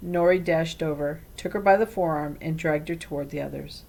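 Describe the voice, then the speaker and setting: A woman reading a children's storybook aloud in an expressive way. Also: low-pitched, a little fast, gravelly, rough recording. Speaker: read-aloud reader.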